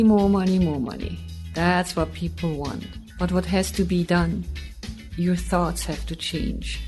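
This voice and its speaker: Woman doing a radio coaching spot, lower voice, emphatic, soft guitar music behind. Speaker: clinical hypnotherapist giving a tip. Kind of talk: scripted radio tip spot.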